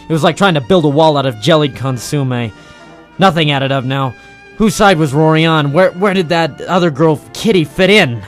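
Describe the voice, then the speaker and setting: Man acting a hard-boiled private investigator, mid-pitched male voice, deadpan wisecracks, cynical, 1940s radio noir, music on a vintage recording. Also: close to an everyday voice. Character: tough, cynical private investigator working the docks.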